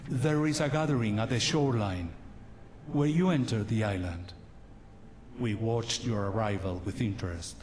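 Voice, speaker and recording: An actor playing a solemn guide who recounts dark legends in a grave, formal and deliberate way for a fantasy game. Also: male voice, on the low side, a little hiss or hum, natural voice. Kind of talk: dramatic fantasy game narration. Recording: long reverb, music behind.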